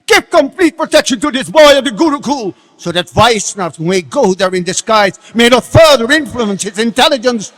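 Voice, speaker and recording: A man performs a commanding demon king in a dramatic stage reading. The voice is high and swings widely in pitch, with theatrical authority, ringing in a very reverberant hall. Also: expressive, brisk, few pauses, fairly bright tone, full character voice. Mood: furious. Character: domineering demon king father, sweet turning to furious.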